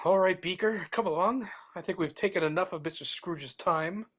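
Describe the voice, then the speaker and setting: A woman reading a timid, polite, flustered charity collector in a live dramatic reading, with a husky voice. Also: fairly low pitch, normal speed. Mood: dismayed. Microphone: video call.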